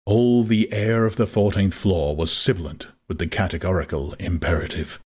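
A man narrating a dramatized audiobook in a measured, literary manner. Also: low-pitched, fairly even intonation, a little mellow, husky.

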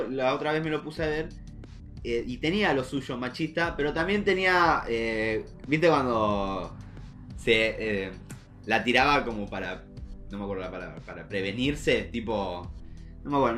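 A young man with a Rioplatense Argentine accent co-hosting a casual podcast, jokey and slangy, telling stories in an animated way. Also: medium pitch, normal speed, faint music behind. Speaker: podcast co-host.

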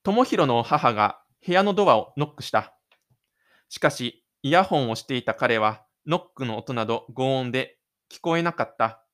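A man's voice: medium pitch, lively intonation, moderate pace, fairly bright tone. A man reading scripted literary narration for an audio drama.

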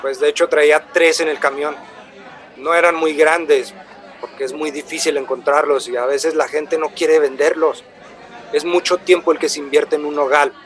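A male actor plays an earnest, polite traveling buyer in a radio drama. His voice is a touch high and his manner eager and explanatory. A low murmur of café customers sits behind him. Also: steady tone, normal speed, some chatter behind, lightly acted. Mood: patient explaining.

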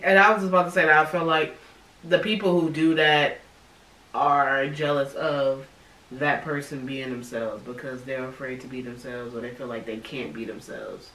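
A man's voice: medium pitch, steady tone, moderate pace, pushed and bright. A man co-hosting a casual podcast chat, speaking earnestly and with strong opinions, often repeating his phrases. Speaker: podcast co-host. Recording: roomy sound from a distant mic.

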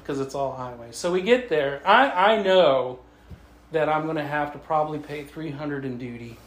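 A man from Canada telling a casual, humorous travel story to a writers' group, animated and chuckling often as he acts out the dialogue. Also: on the high side, moderate pace. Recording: echoey room with faint noise.

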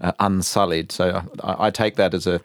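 A man in his fifties with an Australian accent, speaking as a guest in a radio interview, thoughtful and measured, with many hesitations. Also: low-pitched, fairly even intonation, no echo. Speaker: guest, violinist and conductor.

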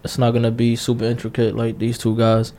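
A man's voice: mid-range voice, completely flat delivery, brisk, warm tone. A man in his late twenties co-hosting a casual podcast, talking bluntly and passionately, repeating himself and cursing freely. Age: 20s. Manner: blunt, repetitive, passionate, curses a lot. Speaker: podcast co-host.

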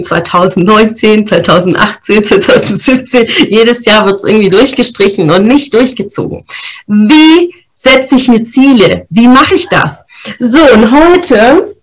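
A woman, hosting a podcast and giving a motivational talk on setting goals, explaining step by step and checking in with her listeners. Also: on the high side. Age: 30s. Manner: lively, explains step by step with frequent "ja?" check-ins. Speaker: podcast host.

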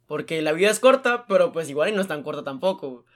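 A young man from Mexico, chatting casually as a podcast host, slangy and animated. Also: a little high. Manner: slangy, animated, fast storytelling. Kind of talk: casual chat.

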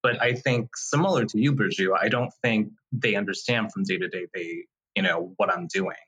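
Bright, husky man in his thirties. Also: lowish voice, low-quality recording. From Taiwan. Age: 30s. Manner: reflective, measured, with fillers. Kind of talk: casual conversation.

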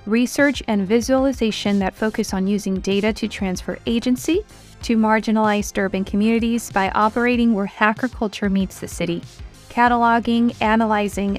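A woman hosting a podcast, reading a scripted introduction and a formal guest biography. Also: mid-range voice. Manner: reads a formal guest bio. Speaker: podcast host.

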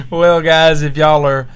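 A young man co-hosting a casual chat with his wife, joking, teasing and laughing often, at home at night. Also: on the high side. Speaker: podcast co-host and church minister. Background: faint baby monitor hiss.

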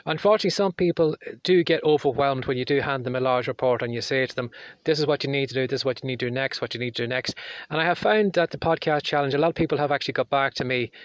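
A man hosting his podcast in a chatty, rambling way, his voice hoarse and croaky. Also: mid-range voice, no room sound. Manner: chatty, rambling, apologetic asides. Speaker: podcast host. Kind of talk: casual solo podcast talk.

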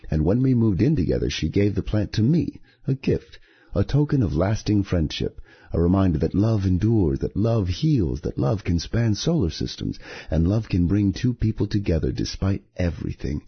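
A man hosting a poetry podcast, reading scripted material in a measured, deliberate way with a husky voice. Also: low voice, very animated, muffled recording. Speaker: podcast host and poetry reader.

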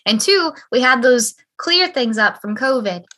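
A young woman with a high-pitched, bright voice chats casually and at length. She is emphatic and talkative and swears freely. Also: expressive, a little slow. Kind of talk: casual podcast chat.

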